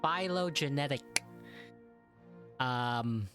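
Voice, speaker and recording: A man's voice: mid-range voice, extremely slow, music in the background. A man giving casual, joking reaction commentary as he streams a video game. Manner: joking, teasing.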